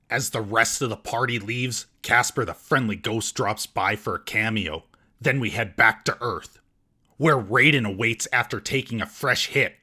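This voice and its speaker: A man's voice: mid-range voice, pushed and bright, slightly rough. A man narrating a scripted comedic movie roast as host, in a sarcastic, mocking manner.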